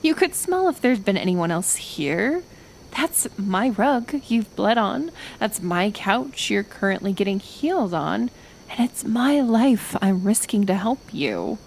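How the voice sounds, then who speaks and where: A woman acting a kind but stubborn reclusive healer in an ASMR roleplay, gentle yet firm, unhurried, with sighs and pauses. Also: mid-range voice, very animated, creaky, a little hiss or hum. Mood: firm, exasperated.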